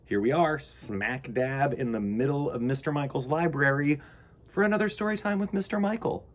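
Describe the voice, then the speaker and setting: A man hosting a children's storytime, speaking and reading aloud with enthusiastic, theatrical emphasis and a husky voice. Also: a little high, normal speed. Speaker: host of a children's storytime show. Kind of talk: animated read-aloud and chat for children.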